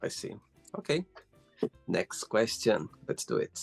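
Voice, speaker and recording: Male interview host, gravelly, husky, encouraging, casual, interested exclamations. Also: on the low side, huge pitch swings, a little slow, soft background music.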